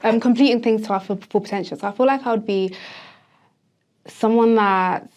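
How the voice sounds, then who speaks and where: A woman's voice: fairly high pitch, fairly quick, darkish tone. A 22-year-old woman, a neuroscience student, chatting casually as a podcast guest, laughing often and filling her speech with "like" and "um". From grew up in the UK. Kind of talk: casual interview chat.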